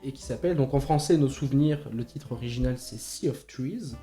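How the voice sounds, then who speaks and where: A man's voice: medium pitch, a little fast, mellow. A man discussing films casually, hesitating and repeating words as he thinks. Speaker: podcast co-host. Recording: slightly roomy podcast recording with music behind.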